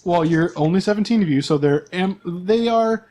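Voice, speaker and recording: A man's voice: high-pitched, moderate pace, darkish tone, a little hiss or hum. A man speaking casually as a game master and podcast host, narrating a tabletop game.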